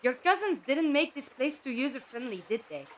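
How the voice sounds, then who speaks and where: A woman's voice: high-pitched, sing-song, brisk, a little hiss or hum. A woman acting in an audio drama as a blunt, slightly sardonic companion who asks direct questions. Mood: questioning, wry.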